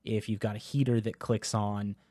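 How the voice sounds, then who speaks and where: A man casually walking through software settings in a product review, talking into a close dynamic USB microphone. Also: on the low side, level tone, normal speed, dry recording. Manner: casual, explanatory, with filler words. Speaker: tech reviewer.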